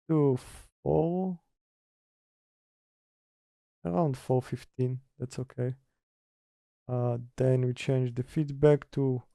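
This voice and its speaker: A man giving a casual software tutorial, hesitant and thinking aloud as he works. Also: mid-range voice, dry recording. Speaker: tutorial presenter. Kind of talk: casual software tutorial, talking through steps.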